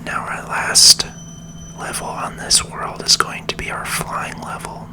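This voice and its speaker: A person whispering gentle, unhurried and reassuring ASMR commentary while playing a video game, in a breathy, unvoiced voice. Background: video game music.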